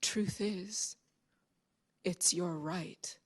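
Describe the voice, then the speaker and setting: Very slow, low-voiced woman reading poetry. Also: breathy edge. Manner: slow, solemn, measured delivery. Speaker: poetry reader. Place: public library event.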